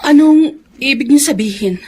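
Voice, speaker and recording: A woman acting a worried, devoted adult daughter in a Filipino radio drama, earnest and gentle. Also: high-pitched, animated, moderate pace. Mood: worried, pleading.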